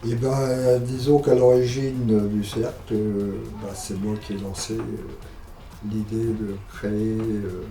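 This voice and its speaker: An elderly man of eighty talks slowly and haltingly in a low, mellow voice. The recording is echoey and distant. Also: little pitch movement. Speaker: association founder. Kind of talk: interview testimonial. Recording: echoey, distant mic, music behind.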